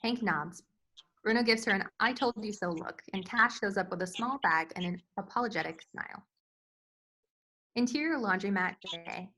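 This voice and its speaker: A woman's voice: mid-range voice, moderate pace, pushed and bright, low-quality recording. A woman reading screenplay stage directions as scripted narration in a table read.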